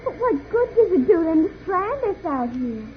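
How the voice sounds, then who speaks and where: Curious young girl, very high pitch, animated, questioning, vintage radio drama, dull hissy sound. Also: normal speed. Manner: excitable, questioning. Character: bright, observant young girl on space adventure. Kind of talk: 1950s radio serial acting. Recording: muffled, hissy old radio recording.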